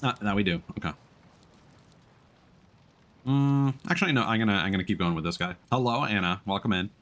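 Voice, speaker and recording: A man chatting casually as he live-streams a game, musing aloud in an unhurried way. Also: on the low side, a bit bright.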